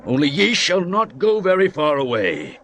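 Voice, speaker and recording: A man's voice: on the high side, wildly swooping pitch, normal speed, fairly bright tone, slight background noise, heavily acted. A man playing a proud, commanding, imperious Egyptian king in dramatised scripture. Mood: grudging. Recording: music and buzzing insects behind.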